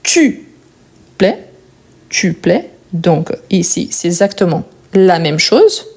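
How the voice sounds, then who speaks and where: A woman's voice: fairly low pitch, big pitch swings, slow, darkish tone, slightly rough. A woman with a non-native French accent giving a live French conjugation lesson, patiently repeating each verb form with fillers and asides. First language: not French. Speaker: French teacher.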